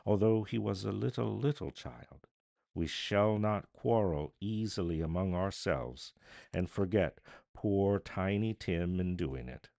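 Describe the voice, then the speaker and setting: Man playing a tender, earnest father, lively and low. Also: unhurried, creaky, no room sound, clean studio sound, full character voice. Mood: tender, moved. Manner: earnest, exclaiming, with repeated emphatic phrases. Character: kindly, tender working-class father, earnest and moved.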